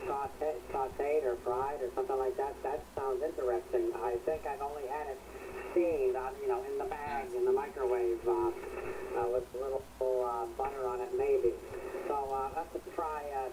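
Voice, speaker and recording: A man speaks at a middling pitch over a shortwave radio with clear static behind him. He runs a casual amateur radio net, polite and appreciative, with a halting delivery. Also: steady tone. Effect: shortwave radio transmission. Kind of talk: casual ham radio check-in chat. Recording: noisy crackly shortwave radio link. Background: radio noise.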